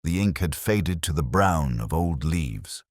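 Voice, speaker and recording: A man with an extremely low voice narrates a scripted detective story in a measured, literary manner. His intonation moves widely despite the brooding delivery. The sound is dry and close, with very little room on it. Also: lively intonation, no room sound.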